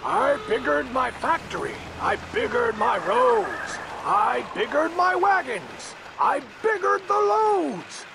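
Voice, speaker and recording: A man's voice: extremely high pitch, huge pitch swings, slow, creaky, hardly any pauses, background noise, pitched above the performer's own voice, full character voice. A man voicing a greedy, defiant old factory owner in a storybook, boastful and emphatic.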